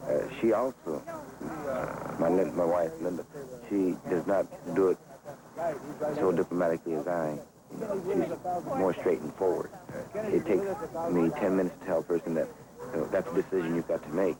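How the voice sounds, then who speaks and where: A man speaking reflectively in a documentary interview, earnest and measured, with halting phrasing. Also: sits low, expressive, a crowd in the background, poor audio. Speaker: boycott coordinator and organizer.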